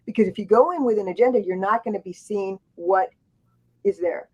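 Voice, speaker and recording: A woman's voice: mid-range voice, lively intonation, fairly quick, darkish tone. A woman author on a book panel, telling stories in a rambling way, with many restarts and asides. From grew up in Thailand and Japan. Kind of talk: casual panel storytelling. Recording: dull lo-fi sound.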